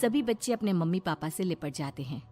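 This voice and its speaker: A woman's voice: fairly low pitch, expressive, brisk, mellow, soft background music. A woman narrator reading a scripted story for a cartoon.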